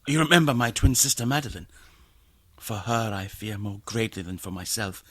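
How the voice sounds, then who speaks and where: Nervous ailing aristocrat, husky yet forceful, overwrought, theatrical, morbidly sensitive, radio drama. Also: male voice, fairly low pitch, moderate pace. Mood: brooding. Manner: theatrical, overwrought, swings from rapture to gloom.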